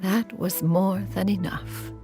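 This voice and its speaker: An actress playing a proud, ancient female immortal in a video game, speaking formally and archaically with quiet care. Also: mid-range voice, fairly even intonation, takes it slowly, over music. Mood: stately, reflective. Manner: formal, archaic, refers to self as "one". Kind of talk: scripted game dialogue.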